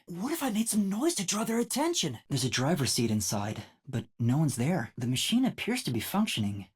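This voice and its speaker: A woman voicing a timid, bewildered boy trainee detective in a video game, with a light, youthful, slightly breathy voice and a hesitant, earnest manner. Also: sits low, a little mellow, full character voice.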